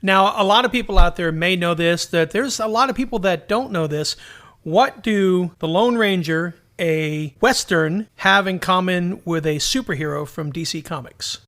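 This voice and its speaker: A man's voice: high voice, normal speed, a bit bright. A middle-aged man co-hosting a podcast in casual, bantering chat. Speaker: podcast co-host.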